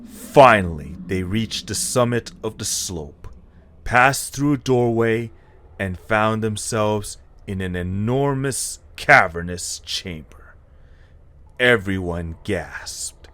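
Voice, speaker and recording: Slow, low-voiced male story narrator. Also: fairly bright tone, no room sound. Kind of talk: dramatic scripted story narration.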